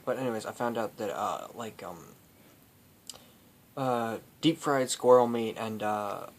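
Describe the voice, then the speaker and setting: A man telling an improvised comic story in a halting, rambling way, as host among friends. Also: lowish voice. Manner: rambling, halting, often breaking into laughter. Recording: noisy home recording with clicks.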